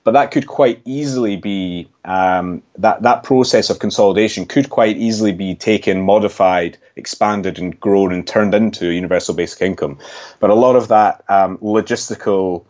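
Scottish man, deep and restarting often. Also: darkish tone, lo-fi sound. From Scotland. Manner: measured, thinking aloud with frequent restarts. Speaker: economist guest. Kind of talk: podcast interview answers.

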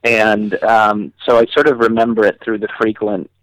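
A man's voice: on the low side, little pitch movement, normal speed, dry recording, rough recording. A man, a podcast guest, telling humorous personal stories casually with wry, mock-serious wording. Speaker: interview guest, author.

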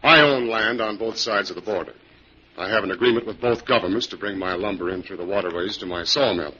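An actor playing a self-assured, obliging boss of a logging company in an old-time radio drama, with a husky voice. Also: male voice, on the low side, quick pace, pushed and bright. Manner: authoritative, obliging. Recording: dull old radio recording.

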